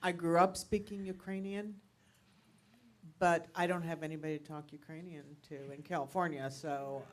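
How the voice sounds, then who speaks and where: A woman speaking up at a congregation meeting, soft-spoken and friendly. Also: fairly low pitch, fairly even intonation, normal speed. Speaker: congregation member.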